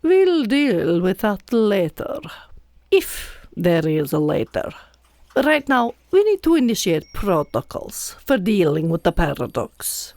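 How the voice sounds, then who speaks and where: A woman playing a self-assured, officious time-paradox specialist in a comic audio drama, deliberate and insistent. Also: mid-range voice, big pitch swings, a little mellow, no echo. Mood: breezy, bossy.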